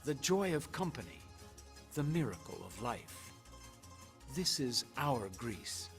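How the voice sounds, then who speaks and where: Male VO, slow lyrical travel-promo read, music bed underneath. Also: mid-range voice, very animated, vocal fry. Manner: slow, poetic, measured delivery. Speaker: promotional video narrator. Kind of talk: scripted tourism narration. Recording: narration over loud music.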